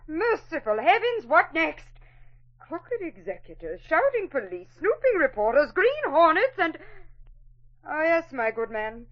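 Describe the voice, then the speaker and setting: An actress playing an indignant, scolding old aunt in a 1940s radio drama. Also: female voice, sits high, very animated, a little slow, dull audio.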